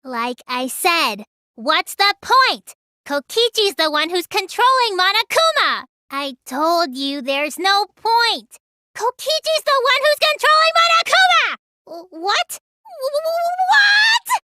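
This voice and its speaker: A woman voicing a small, childlike teenage girl mage in a video game, high and girlish, sulky and excitable with a whiny edge. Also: slow, dry recording, exaggerated voice. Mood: frustrated, agitated. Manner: whiny, stammers when startled. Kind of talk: English game dub voice lines.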